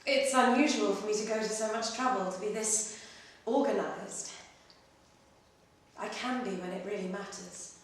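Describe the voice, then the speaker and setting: A woman reads a first-person short story aloud with expressive, measured phrasing. Her medium-pitched voice comes from some distance in a very echoey room, with faint noise behind it. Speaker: story reader performing at a literary festival. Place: live reading in a library. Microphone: distant microphone.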